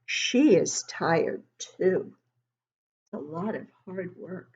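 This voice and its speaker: A woman reading a picture book aloud to young children, expressively, pausing to explain things and ask the listeners questions. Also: on the low side, animated, darkish tone, highs cut off, slight background noise. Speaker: children's book club host and reader.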